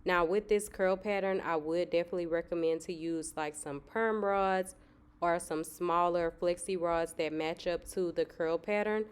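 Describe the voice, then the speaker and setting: A woman's voice: lowish voice, normal speed, smooth. A young woman, a little nasal from a cold, chatting casually through a hair tutorial. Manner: relaxed, chatty, filler-heavy. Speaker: hair vlogger.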